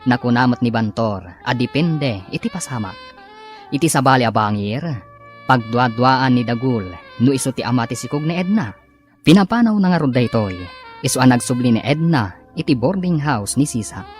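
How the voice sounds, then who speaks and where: A narrator for a radio drama serial delivers scripted recaps and credits. The pitch sits in the middle but swings widely for drama. Music plays behind the voice. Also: expressive, moderate pace.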